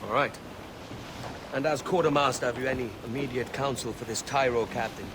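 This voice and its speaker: An actor playing a brash, cocky young pirate captain with a Welsh accent and a wry, bantering manner in a video game. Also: male voice, medium pitch, fairly even intonation, brisk, background noise, lightly acted. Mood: easy, wry. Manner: wry, bantering, seafaring turns of phrase. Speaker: ship's captain. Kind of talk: video game cutscene acting.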